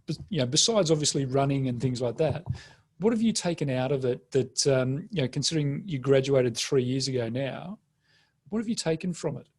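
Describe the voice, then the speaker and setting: A man's voice: mid-range voice, lively intonation, fairly quick, a little mellow, breathy edge. A man hosting a conversational interview and asking questions.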